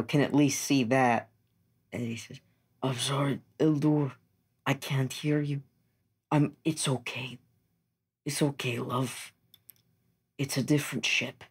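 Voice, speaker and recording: Man, mid pitch, slow, gentle halting delivery, tabletop role-play storytelling. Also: creaky. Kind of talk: improvised storytelling with acted dialogue.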